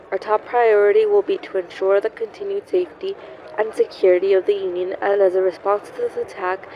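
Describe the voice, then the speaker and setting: An actress playing a cool, stern government spokesperson delivering a formal, measured press statement in an audio drama. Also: female voice, mid-range voice, dull audio, slight background noise, close to an everyday voice.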